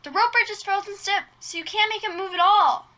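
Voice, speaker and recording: A woman acts out an enthusiastic teenage girl in an amateur script read. The voice is extremely high and bright. She speaks in a perky, earnest way, as a sweet girl keen on adventure. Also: brisk. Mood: delighted.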